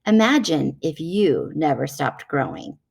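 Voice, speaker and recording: A woman reading a nonfiction children's book aloud in a measured, explanatory way. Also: sits low, sing-song, creaky, darkish tone, husky.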